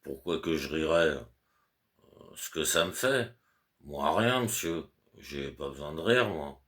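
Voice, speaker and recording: A man's voice: very deep voice, animated, slow, a bit bright, full character voice. A man doing a sullen, curt manservant. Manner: curt, grudging replies. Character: sullen sleepy male manservant, grudging.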